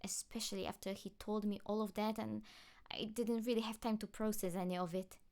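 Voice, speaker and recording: A woman voicing a caring, anxious teenage girl superhero in an audiobook, expressive and earnest. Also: medium pitch, a little fast, voice pushed up, natural voice. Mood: worried.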